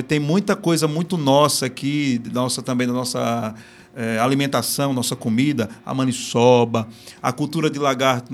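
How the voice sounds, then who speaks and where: A man with a Northeastern Brazilian accent hosting a live talk stream, chatting in a lively way and tossing in playful regional exclamations. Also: mid-range voice. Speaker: host of a live business talk show. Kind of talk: casual live-stream chat.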